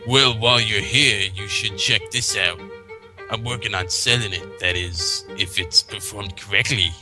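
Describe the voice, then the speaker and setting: Casting: earnest, exasperated bookish female pony read by a man, lowish pitch, emphatic, music underneath. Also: measured pace, lightly acted. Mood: earnest, proud.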